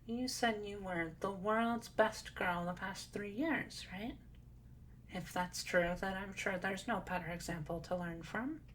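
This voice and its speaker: Woman voicing a shy young cat girl, medium pitch, soft-spoken, hesitant, gently dry, audio roleplay, slight background noise. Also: measured pace, natural voice. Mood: calm, gently dry. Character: timid, sweet, calm young cat girl from a shelter. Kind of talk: roleplay audio drama acting.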